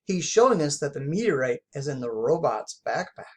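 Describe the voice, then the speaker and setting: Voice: medium pitch, sing-song, fairly quick, darkish tone, lightly acted. A storybook reader voicing an earnest, commanding young male pup who leads a team of heroes. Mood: urgent. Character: heroic young male police pup leader, determined. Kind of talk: children's storybook read-aloud.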